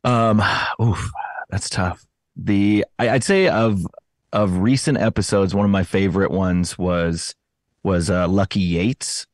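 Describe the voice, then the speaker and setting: Man, low voice, dry close sound, casual interview guest, chatty, self-correcting. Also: steady tone, slow, darkish tone, no room sound. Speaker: podcast host appearing as interview guest. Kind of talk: casual conversational interview answers.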